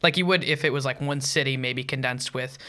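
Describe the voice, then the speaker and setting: A man's voice: medium pitch, fairly quick, bright, forceful tone. A man hosting a podcast, asking questions in a casual, conversational way. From United States. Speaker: podcast host, interviewer.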